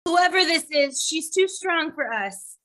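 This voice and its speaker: A woman playing an earnest, wary warrior heroine in an animated fantasy table read. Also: extremely high pitch, measured pace, exaggerated voice. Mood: wary, urgent.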